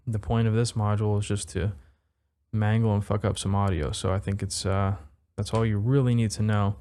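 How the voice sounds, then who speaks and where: A man with a fairly low, very smooth and clean voice presents a gear demo. He talks in a casual, laid-back way, like a hobby reviewer who doesn't take himself too seriously. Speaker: synthesizer module reviewer.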